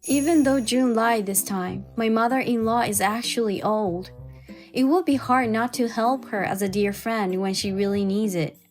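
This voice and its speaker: A woman playing a wary, hurt young wife who narrates her troubles in an expressive manga dub style. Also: fairly high pitch, normal speed, natural voice. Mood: troubled. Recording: music behind the voice.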